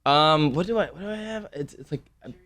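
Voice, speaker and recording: Animated man chatting as a guest. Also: a little high, fairly quick. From United States. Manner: animated, laughs often, lively storytelling. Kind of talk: casual interview chat.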